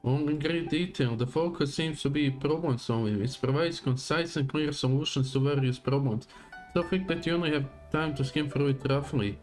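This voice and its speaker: A man's voice: a little high, moderate pace, darkish tone. A man streaming a video game live, muttering casual asides and reacting as he plays. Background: faint game music.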